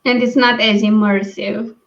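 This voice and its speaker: A woman hosting a casual book discussion over a video call, thinking aloud in halting phrases. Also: a little high, steady tone. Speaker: book read-along live stream host. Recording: dull, lo-fi stream audio.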